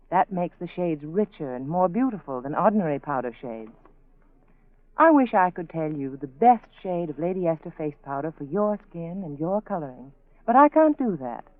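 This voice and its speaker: An American woman reading a scripted 1940s radio advertisement as the sponsor's spokeswoman, measured and persuasive. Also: lowish voice, no echo. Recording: narrow, phone-like 1940s radio broadcast.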